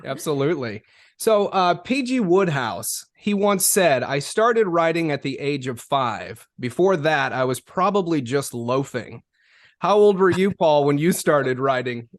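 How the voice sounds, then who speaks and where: A man with a slightly high voice hosts a podcast interview. He puts his questions conversationally, in a very dry, close recording. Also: animated, measured pace, no room sound.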